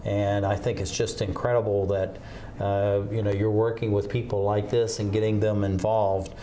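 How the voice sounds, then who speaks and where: A man's voice: on the low side, flat delivery, moderate pace, warm tone, a little hiss or hum. A man hosting a TV talk show, interviewing a guest with enthusiasm and frequent fillers.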